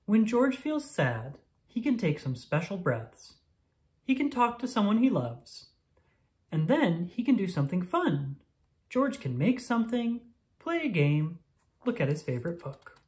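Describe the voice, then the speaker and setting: A woman reading a children's picture book aloud in an expressive, deliberate storytelling manner for young listeners. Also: mid-range voice. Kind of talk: scripted read-aloud narration of a picture book.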